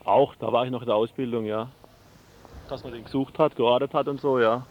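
A man of average pitch speaks as a police officer in an informal street interview. His answers are spontaneous and plain. The recording is dull, with light noise behind. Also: fairly even intonation, brisk. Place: outdoors by a patrol car. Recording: dull radio-like sound, faint noise.

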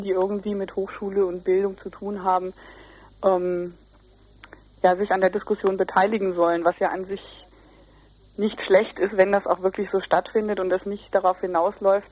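A young woman speaks as a student representative in an interview. Her delivery is flat and hesitant as she searches for words. The radio recording is somewhat muffled and lo-fi. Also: mid-range voice. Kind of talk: explanatory interview answers.

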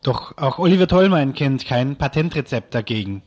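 A man's voice: mid-range voice, lively intonation, moderate pace, a little mellow. A man presenting a radio news magazine and putting questions as interviewer.

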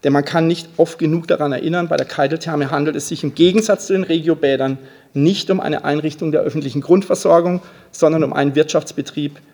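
A man's voice: fairly high pitch, steady tone, fast talker, darkish tone. A man speaking as a city official, delivering a formal prepared speech.

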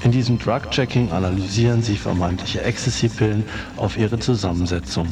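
A man's voice: fairly low pitch, a little fast, a little mellow. A man narrating a scripted radio report. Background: music.

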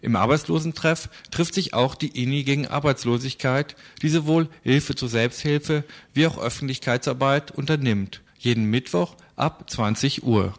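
Male news reporter, medium pitch. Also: moderate pace, few pauses. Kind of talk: scripted news narration.

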